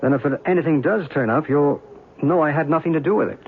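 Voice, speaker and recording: An actor playing a calm, knowledgeable guide to ancient mysteries, speaking in a measured, explanatory way in a radio drama. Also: male voice, on the high side, close to an everyday voice. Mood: quietly guiding. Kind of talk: old-time radio drama acting. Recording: tinny, hissy band-limited radio recording.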